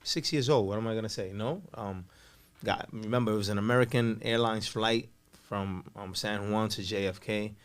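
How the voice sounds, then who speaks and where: A man's voice: lowish voice, moderate pace. A man in his forties from Puerto Rico, raised in Brooklyn, telling his story as a podcast guest in a laid-back way. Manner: laid-back, many "you know" fillers, laughs easily. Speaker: radio DJ, guest. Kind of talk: casual interview storytelling.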